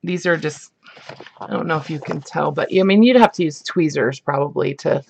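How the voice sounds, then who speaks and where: A woman talks in a relaxed, rambling way, full of ums and likes. Her voice is husky from the morning, with a gravelly fry underneath. She sounds like a casual crafter showing her haul. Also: sits low, moderate pace, slight background noise. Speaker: craft vlogger. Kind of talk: casual show-and-tell chat.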